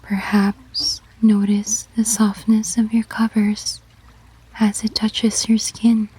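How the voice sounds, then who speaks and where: A woman narrating a guided sleep meditation in ASMR style, giving gentle, measured instructions in a whispery, breathy voice. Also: medium pitch, completely flat delivery, rough recording.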